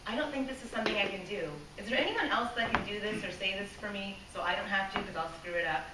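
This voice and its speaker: A woman's voice: medium pitch, quick pace. A woman giving a prepared speech to a live audience, earnest and direct. She asks the room questions and sometimes loses her place and starts over. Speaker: club speaker. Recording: echoey room from a distance, faint hiss.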